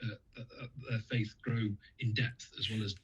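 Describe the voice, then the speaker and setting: A man's voice: lowish voice, level tone, normal speed, slightly rough. A man from the UK speaking as a guest on a radio interview, talking in a hesitant way with many fillers and restarts.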